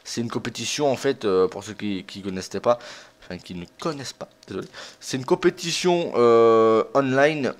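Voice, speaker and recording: A man's voice: mid-range voice, normal speed. A young man hosting a gaming video, commenting casually in an informal, rambling, self-deprecating way. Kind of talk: casual let's play commentary.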